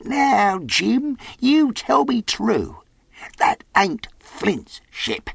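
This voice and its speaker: A man's voice: sits high, very slow, creaky, husky, no echo, studio quality, voice pushed up, full character voice. A man voicing a half-crazed, sly, eager marooned old pirate with rustic sailor's talk, in a storybook reading. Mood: fearful, urgent. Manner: rustic ungrammatical sailor's talk, repeats himself excitedly.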